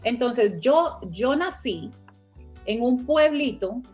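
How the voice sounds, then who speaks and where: A woman explains her ideas step by step as the guest in an online conversation. Her voice sits a bit high, and soft music plays underneath. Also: animated, takes it slowly. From Costa Rica. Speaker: finance teacher and guest. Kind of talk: online interview, teaching.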